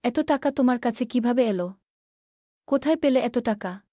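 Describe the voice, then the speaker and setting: A woman's voice: fairly high pitch, lively intonation, normal speed, mellow, no room sound, clean studio sound. A woman playing the devout, practical wife of a poor farmer in a cartoon, speaking earnestly. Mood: amazed.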